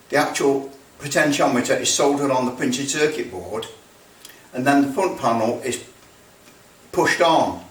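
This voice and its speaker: A man in his sixties giving a casual hands-on explanation, rambling and haltingly thinking aloud as he goes. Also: mid-range voice, a bit bright. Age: 60s. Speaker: radio repair video maker. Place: small indoor workshop with block walls. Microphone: camera mic at a distance. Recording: roomy, distant mic, background noise.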